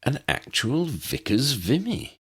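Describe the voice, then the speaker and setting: A man's voice: mid-range voice, sing-song, slow, slightly rough, voice pushed up, full character voice. A man voicing a learned, wonderstruck wizard who explains things precisely, in a storybook reading. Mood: awed.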